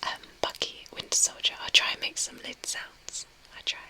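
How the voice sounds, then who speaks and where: A young woman whispering softly as she reads and answers a live chat, a little tearful. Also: normal speed. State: tearful, recently crying. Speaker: ASMR streamer. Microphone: webcam mic.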